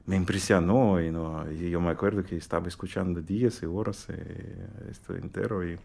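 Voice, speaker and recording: A man with a Russian accent speaking Spanish as a guest in a radio interview, halting and searching for words with many fillers. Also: low voice, fairly even intonation, vocal fry, a little mellow. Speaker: guest pianist. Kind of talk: interview conversation.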